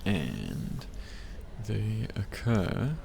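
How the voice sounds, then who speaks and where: A young man playing a gentle, teasing study partner in an ASMR roleplay, speaking softly and breathily, often close to a whisper. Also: on the low side, big pitch swings, gravelly, full character voice. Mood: calm, studious. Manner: hushed, unhurried, hesitant with small hums. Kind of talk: soft-spoken ASMR roleplay. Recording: faint library ambience noise. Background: quiet library ambience.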